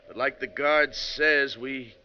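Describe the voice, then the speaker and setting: Gruff bus driver, noisy old radio. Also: male voice, mid-range voice, steady tone, slow, sharp tone. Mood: gruff, firm. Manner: colloquial, folksy, firm. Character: plain-spoken male bus driver, gruff and firm. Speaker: actor playing driver and narrator. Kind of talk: 1940s radio acting. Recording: muffled, noisy 1949 radio recording.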